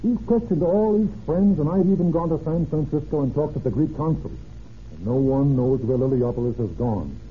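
An actor playing a brisk, plain-spoken county sheriff in an old radio crime drama, matter-of-fact and clipped. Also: male voice, a little high, animated, lightly acted. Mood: frustrated, resigned. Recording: tinny, noisy old radio recording.

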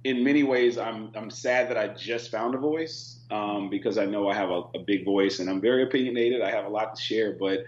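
A man guest on a talk panel, speaking in an earnest, thoughtful and measured way. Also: on the low side. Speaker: reality show cast member, guest. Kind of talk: casual panel chat. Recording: dull echoey remote audio with hum.

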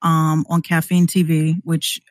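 A woman's voice: low-pitched, completely flat delivery, unhurried, dry recording, studio quality. A woman who streams and podcasts, chatting casually as a guest on a show.

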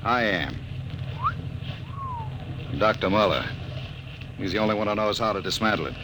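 An actor playing a curt, commanding, hard-nosed general in a classic radio drama. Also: male voice, on the low side, animated, moderate pace, lightly acted. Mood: stern. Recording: noisy old radio drama recording.

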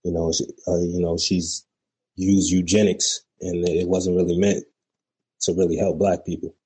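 A man's voice: sits low, flat delivery, moderate pace, darkish tone, breathy edge, low-quality recording. A man calling in to a talk show, speaking casually and rambling with many fillers. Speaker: caller.

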